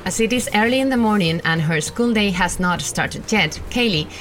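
A woman giving formal, measured scripted narration as documentary host. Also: medium pitch, animated, fairly bright tone, faint music behind.

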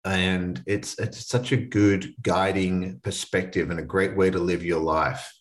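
A man's voice: deep voice, flat delivery, a little slow. A man in his late twenties hosting a solo motivational podcast, talking in a casual, earnest way. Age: 20s. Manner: casual, earnest, conversational with restarts. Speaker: podcast host.

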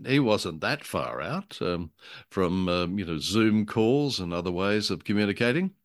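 A man with a fairly low voice chats as a podcast co-host. He feels his way through sentences with wry humour. The sound is dry, with hardly any room to it. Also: expressive, unhurried, dry recording. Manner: hesitant, wryly humorous storytelling. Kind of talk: casual discussion of a radio drama.

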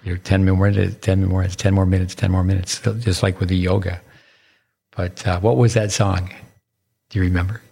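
A man's voice: low-pitched, little pitch movement, a little fast, creaky, warm tone, breathy edge. A man hosting a tennis podcast, rambling in a casual way with many stumbling restarts and repeated words. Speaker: podcast host and tennis coach. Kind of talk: casual interview chat.

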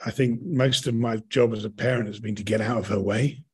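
Husky middle-aged British man, thinking aloud. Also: lowish voice, steady tone. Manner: halting, thinking aloud with repeated rephrasing. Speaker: podcast guest, actor and director. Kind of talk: casual reflective conversation.

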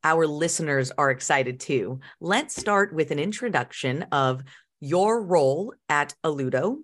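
Female podcast host, notably low pitch, conversational corporate interviewing. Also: animated, a little slow.

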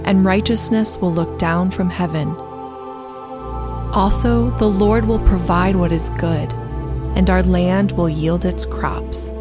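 A woman giving a solemn, measured reading of scripture, phrase by deliberate phrase. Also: on the low side, fairly even intonation. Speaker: scripture reader. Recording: soft new-age music underneath. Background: instrumental new-age music.

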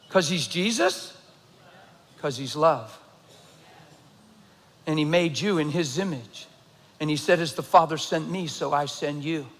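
Man, 50s, pastor; high-pitched, intense sermon voice with a long reverb. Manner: builds from low, quiet delivery to shouting intensity.

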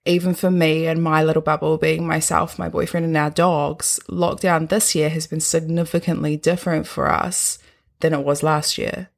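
Husky New Zealand woman hosting a podcast. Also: low-pitched, little pitch movement, normal speed. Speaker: podcast host. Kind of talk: scripted podcast monologue.

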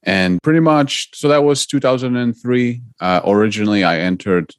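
A man's voice: medium pitch, normal speed, clean tone, dry recording, clean studio sound. A middle-aged man from Poland, earnestly explaining his investing path as a podcast guest. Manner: earnest, explanatory, some repeated starts. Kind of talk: interview answers.

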